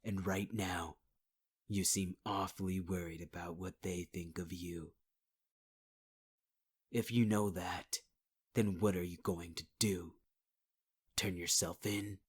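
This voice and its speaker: A man's voice: sits low, steady tone, slow, pauses often, slightly rough. A man performing a possessive male villain in an ASMR audio roleplay, speaking close to the mic in a taunting, half-whispered voice and calling the listener sweetheart. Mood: angry.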